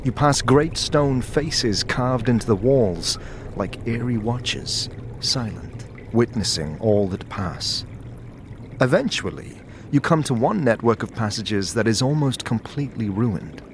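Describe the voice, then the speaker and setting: A man narrating a dramatic second-person story in a measured, atmospheric manner. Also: medium pitch, animated, slight background noise. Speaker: narrator and lead player.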